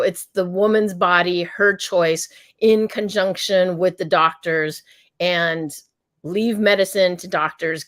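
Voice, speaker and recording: A woman's voice: fairly low pitch, dry recording, clean studio sound. A middle-aged woman doctor answering interview questions in a forthright, emphatic way, laughing between points. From United States. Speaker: doctor and company founder, guest.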